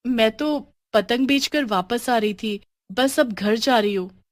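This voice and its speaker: A woman voicing a kind, hard-working young woman devoted to her family, with an earnest, expressive storybook delivery. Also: on the high side, takes it slowly, voice pushed up. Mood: frightened, defiant. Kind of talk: dramatised storybook dialogue.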